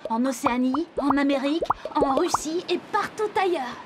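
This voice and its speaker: A woman's voice: high voice, lively intonation, moderate pace, very noisy. A woman voicing a friendly, proud young animal in a children's cartoon, eagerly explaining things in simple words. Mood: cheerful. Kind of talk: children's educational cartoon dialogue.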